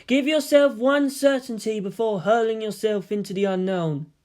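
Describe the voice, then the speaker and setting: Casting: seductive imaginary female spirit, played by a man, high-pitched, sly and suggestive, teasing storybook temptress. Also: moderate pace, darkish tone, close to an everyday voice. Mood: sly, coaxing.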